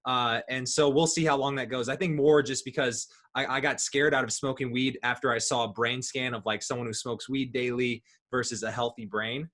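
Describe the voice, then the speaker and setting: A man of thirty hosting a casual podcast talk, relaxed and rambling, with many ums and likes. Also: mid-range voice, brisk, hardly any pauses. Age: 30s. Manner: relaxed, rambling, filler-heavy. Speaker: podcast host.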